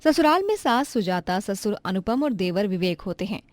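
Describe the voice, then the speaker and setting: Female narrator for bedtime moral stories; scripted, lively storytelling, close and dry. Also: mid-range voice, moderate pace, dry recording.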